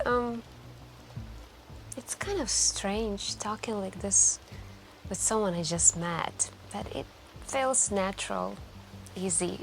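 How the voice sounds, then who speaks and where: A wistful, mysterious woman , played by an ASMR roleplayer, speaks softly and intimately, as if to a stranger in a late-night café. She takes her time, musing hesitantly and letting pauses linger. Music plays quietly behind her medium-pitched voice. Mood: shy, intimate. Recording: background music.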